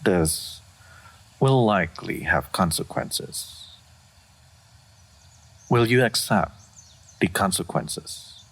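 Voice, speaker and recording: Solitary, dignified fantasy dragon, played by a man: gravelly and husky, formal, unhurried, reserved. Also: low voice, expressive, a bit bright, heavily acted. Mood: reserved, yielding. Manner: formal, measured, deliberate, reserved. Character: aloof, solitary, dignified old male dragon of great power. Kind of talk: scripted fantasy roleplay audio.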